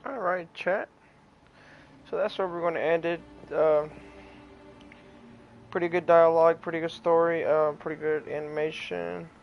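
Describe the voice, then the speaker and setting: A young man chatting casually on a game livestream, halting and repeating himself in slangy speech. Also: fairly high pitch, fairly even intonation, gravelly, lo-fi sound, soft background music. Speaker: game streamer.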